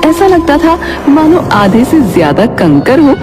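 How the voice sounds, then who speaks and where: A woman's voice: sits high, very animated, unhurried, loud music behind the voice. A woman narrating a scripted children's cartoon story as the storyteller.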